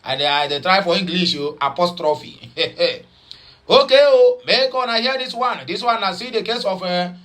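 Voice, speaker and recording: Nigerian pidgin guy doing news commentary, high voice, mocking and rambly. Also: animated, moderate pace, a bit bright. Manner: chatty, mocking, switches between Pidgin, English and Igbo; rambling with many fillers and repeats.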